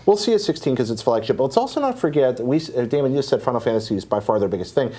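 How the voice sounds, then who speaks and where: Male panelist, mid-range voice, informed and explanatory in relaxed group conversation. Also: medium pitch, racing, slightly rough. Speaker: podcast panelist. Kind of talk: casual panel discussion about video games.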